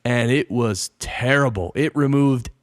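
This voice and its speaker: A man's voice: mid-range voice, unhurried, no echo, studio quality. A man hosting a podcast interview, talking casually and telling stories with emphasis. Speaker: podcast host.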